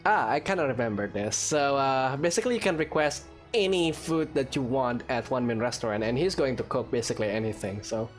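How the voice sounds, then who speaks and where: Female gaming host, Indonesian accent: very deep voice, lively swings, chatty, playful, faint music. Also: a little fast, hardly any pauses. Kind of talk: casual gameplay commentary.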